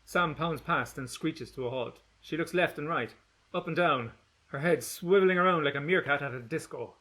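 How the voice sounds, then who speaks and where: A man's voice: a little high, moderate pace, a bit bright. A man narrating a funny children's book in a playful, jokey way. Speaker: audiobook narrator, author.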